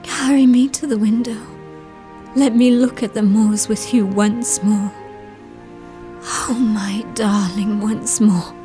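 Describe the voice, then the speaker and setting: A woman's voice: medium pitch, fairly even intonation, slow, warm tone, natural voice. A woman playing a passionate, dying young woman in a melodramatic audio drama, pleading and yearning. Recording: dramatic music behind the voice. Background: dramatic music.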